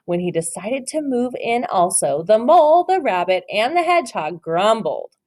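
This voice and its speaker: A woman's voice: on the high side, very animated, normal speed, a little mellow. A woman teacher reading a picture book aloud to children, encouraging them and asking questions about the pictures.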